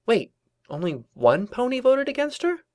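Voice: medium pitch, sing-song, moderate pace, darkish tone, voice pushed up, natural voice. A performer voicing an indignant young female cartoon pony revolutionary, declaiming and snapping defensively in a story reading. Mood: indignant, snappish.

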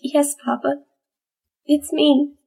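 A man voicing a small, gentle young filly pony in a soft, high falsetto. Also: level tone, takes it slowly, gravelly, full character voice. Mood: tearful.

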